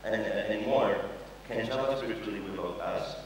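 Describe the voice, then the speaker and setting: A young man gives a loose, joking tech talk on stage, his slightly low voice ringing through a big hall. It is picked up from a distance, so it sounds roomy and far off. A steady hum and clear background noise sit under his words. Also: moderate pace. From Poland. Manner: joking, loose, with frequent fillers and swearing. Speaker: conference speaker. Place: large conference hall with an audience. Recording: echoey hall recorded from afar.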